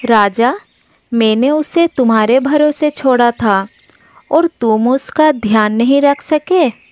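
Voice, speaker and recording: A woman voicing a fierce, protective mother deer in a cartoon, speaking loudly. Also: fairly high pitch, slow. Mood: worried. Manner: loud, scolding and pleading.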